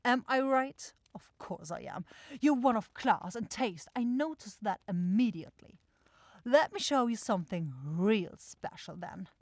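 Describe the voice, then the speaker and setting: Woman acting a high-voiced, bossy demon shopkeeper. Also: animated, measured pace, no room sound, studio quality. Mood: smug, coaxing. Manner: theatrical, coaxing and self-assured. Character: bossy, salesy demon-like soul shop keeper sister, impatient. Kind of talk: ASMR fantasy audio roleplay.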